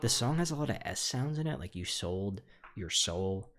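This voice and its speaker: A young man with a somewhat low voice talks through his own music production in a loose, rambling way. He keeps hedging and filling gaps with casual asides. Music plays faintly underneath. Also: moderate pace. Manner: relaxed and rambling, many fillers and hedges. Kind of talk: casual studio walkthrough.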